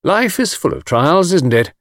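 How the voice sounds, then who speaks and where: Offhand, brisk gentleman tour guide, voiced by a male reader, highly animated pitch, close dry sound, storybook hero. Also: dry recording, lightly acted. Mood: brisk, cheerful. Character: breezy, flippant gentleman adventurer working as tour guide. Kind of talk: audiobook character voice.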